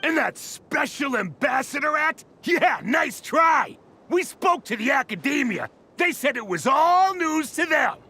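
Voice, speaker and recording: A shouting angry tribesman. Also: extremely high pitch, big pitch swings, unhurried, exaggerated voice. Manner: shouting, accusing. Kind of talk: game cutscene voice acting.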